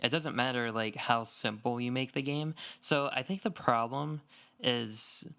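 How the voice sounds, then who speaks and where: Male podcast co-host, clear and gravelly-edged, measured explainer in relaxed conversational style. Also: mid-range voice. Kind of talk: casual gaming podcast chat.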